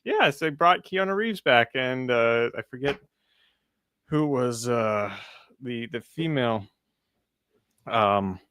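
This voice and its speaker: A young man co-hosting a casual chat, loud and teasing. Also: medium pitch, expressive, slow pace, pauses often, clean tone, no room sound, clean studio sound. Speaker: magic shop owner and co-host. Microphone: studio mic.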